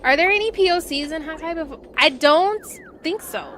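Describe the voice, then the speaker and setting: A woman streaming a game, chatting casually with viewers in halting, repeated half-phrases and exclamations. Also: very high-pitched, lively intonation, fairly bright tone, slight background noise. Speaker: live streamer playing a game. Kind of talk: casual livestream chat with viewers. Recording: game music behind the voice.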